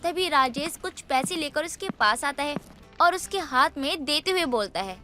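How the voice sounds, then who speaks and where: A woman's voice: high-pitched, lively intonation, normal speed, sharp tone, soft background music. A woman working as a story narrator, reading a scripted bedtime moral tale.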